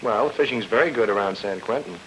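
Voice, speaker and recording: An actor playing a breezy, unflappable detective and newlywed with flippant, slangy banter in an old-time radio comedy-mystery. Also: male voice, fairly low pitch, a little fast, fairly bright tone, natural voice. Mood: dry, cool. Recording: noisy, clicky vintage radio recording.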